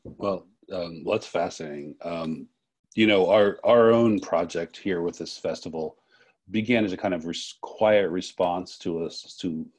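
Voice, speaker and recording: A man interviewing in a reflective, unscripted way, halting often as he searches for his words. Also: sits low, a little mellow, slightly rough. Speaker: interviewer, festival co-director.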